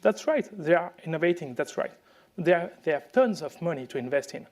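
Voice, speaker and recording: A man from France with a French accent, giving a conference presentation in a halting way with many fillers and restarts. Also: fairly high pitch, expressive, a little mellow. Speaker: conference speaker.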